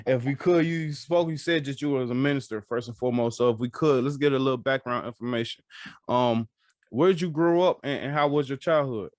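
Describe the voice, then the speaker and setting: A man's voice: mid-range voice, a little fast, no room sound. Male interviewer, informal podcast host, dry close sound, loosely phrased questions that restart and repeat. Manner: hesitant, repeats phrases while forming questions. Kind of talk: casual interview.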